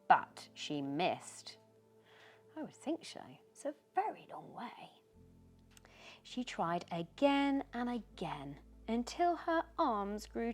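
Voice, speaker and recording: A woman reads a children's bedtime story aloud in an expressive, gentle storytelling manner. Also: mid-range voice. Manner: expressive, gentle storytelling with questions posed to the listener. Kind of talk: bedtime story read aloud with short asides.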